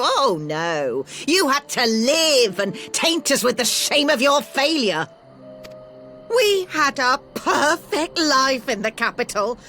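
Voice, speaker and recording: A woman's voice: high voice, pushed and bright, heavily acted. A woman playing a spiteful, self-pitying noblewoman in a fantasy video game, with a haughty, scornful delivery. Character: spiteful, self-pitying noblewoman berating a despised younger relative. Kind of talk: dramatic video game voice acting.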